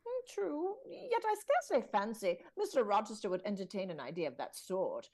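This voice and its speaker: A woman's voice: mid-range voice, very animated, normal speed, darkish tone. A woman acting a kindly, gossipy older housekeeper in a vintage radio drama, fussy and confiding. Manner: fussy, confiding, swooping emphasis.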